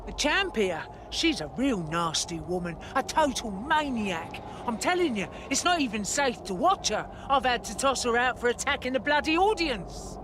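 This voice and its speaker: A woman playing an excitable fight promoter in a game, talking someone into a bout. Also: fairly high pitch, normal speed, hardly any pauses, background noise. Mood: excited hype. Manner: hyping, persuasive. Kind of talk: game cutscene dialogue.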